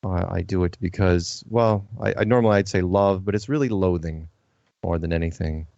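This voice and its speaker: A man's voice: low-pitched, moderate pace, gravelly, darkish tone, dry recording. A man hosting a live call-in radio show, chatting casually with dry, self-deprecating humour. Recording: dull, lo-fi broadcast sound.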